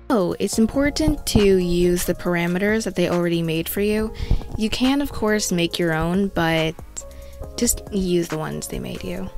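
Congested young woman, chatty tutorial voice-over. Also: medium pitch. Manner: casual, chatty, self-mocking asides. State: congested from a cold. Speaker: art tutorial host.

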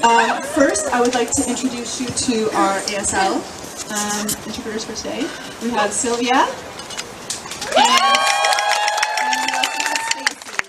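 A young woman organizer giving an earnest public speech at an outdoor rally, halting now and then with fillers and restarts, heard through a handheld mic and speakers. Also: fairly high pitch, big pitch swings. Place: outdoor city square at a rally. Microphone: handheld mic through speakers, filmed from far off. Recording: echoey PA sound from far off, loud crowd noise.

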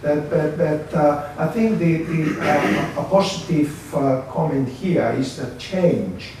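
A man in his sixties from Spain with a Spanish accent, giving a seated conference presentation with a halting, hesitant delivery. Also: on the high side, a little mellow. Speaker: academic speaker, research council member. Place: seminar room, indoors. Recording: very echoey room, distant mic, faint noise.

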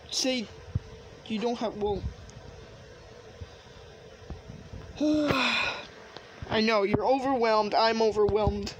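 A woman's voice: on the high side, noisy background, lightly acted. A woman playing an overwhelmed, hesitant young son in an improvised game roleplay, with exclamations of disbelief.

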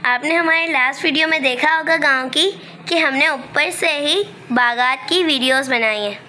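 A woman's voice: high-pitched, normal speed, pushed and bright. A young woman narrating a vlog as a voice-over, on a noisy amateur phone recording. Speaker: vlogger.

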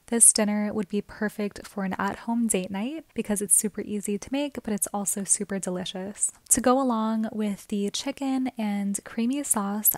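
A woman's voice: mid-range voice, darkish tone, no echo. Chatty young woman doing a cooking voiceover, friendly, dry close sound. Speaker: cooking vlogger. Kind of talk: voice-over narration of a cooking video.

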